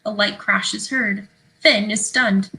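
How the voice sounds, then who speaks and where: A woman's voice: mid-range voice, steady tone, takes it slowly, gravelly, bright, forceful tone, rough recording. A woman reading out stage directions as scripted narration for a play.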